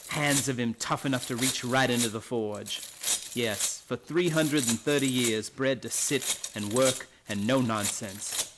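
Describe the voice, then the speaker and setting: Scornful factory overseer for radio drama: extremely low voice, blunt, noisy clinking recording. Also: female voice. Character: hard, cynical overseer of bred factory workers. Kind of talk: radio play acting.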